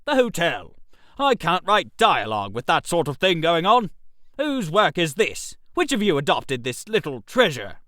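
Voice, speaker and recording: A reader playing a wry, theatrical English playwright. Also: male voice, fairly high pitch, huge pitch swings, moderate pace, pushed and bright, no room sound, clean studio sound, voice pushed down, exaggerated voice. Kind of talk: radio theater story reading.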